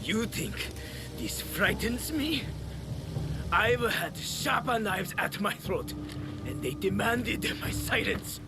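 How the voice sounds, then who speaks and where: A man's voice: high-pitched, big pitch swings, slow pace, loud music behind the voice, exaggerated voice. A man playing a brash, defiant pirate villain in a video game cutscene, taunting in a theatrical way. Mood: defiant mockery.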